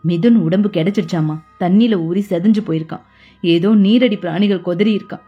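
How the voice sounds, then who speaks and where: A woman voicing a kind, grave elderly father-in-law, in a lowered soft voice, in an audio story. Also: brisk, slightly rough, dull audio, soft background music.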